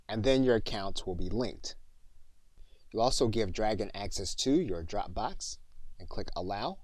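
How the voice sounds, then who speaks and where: Low-voiced man giving a tutorial. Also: a little mellow, no room sound. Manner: step-by-step explaining. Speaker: software training instructor. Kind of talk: instructional tutorial narration.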